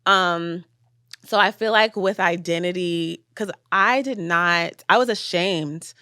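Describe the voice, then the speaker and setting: A young woman from the States chats on a podcast with a slightly low voice, thoughtful and loose, full of casual fillers. The sound is close and dry, with no room around it. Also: slow pace, pushed and bright, clean tone, dry recording. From United States. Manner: reflective, casual, many fillers. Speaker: podcast guest, filmmaker.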